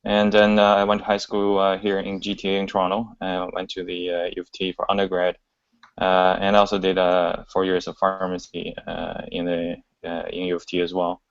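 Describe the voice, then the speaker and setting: A man in his thirties from China, a pharmacist introducing himself on a video call, halting with frequent fillers. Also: deep voice, completely flat delivery, creaky, dry recording. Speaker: panelist, pharmacist. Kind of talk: casual self-introduction. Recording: dry, dull lo-fi call audio.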